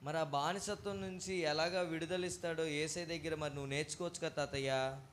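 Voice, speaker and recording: A man hosts a live church puppet show in a fairly high voice. He questions, exclaims and gently admonishes. There is some noise in the recording. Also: steady tone, fairly quick.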